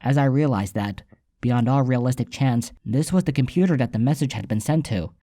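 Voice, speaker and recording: A man's voice: mid-range voice, a little fast, warm tone, dry recording, clean studio sound. A man reading scripted first-person story narration as an audiobook narrator.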